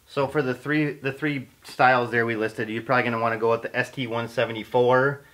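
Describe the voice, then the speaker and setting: A man's voice: medium pitch, fairly even intonation, fairly quick, fairly bright tone. Casual man hosting a fishing interview. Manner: casual, listing lures, asking questions. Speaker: host of a fishing channel. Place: indoor studio in front of a banner.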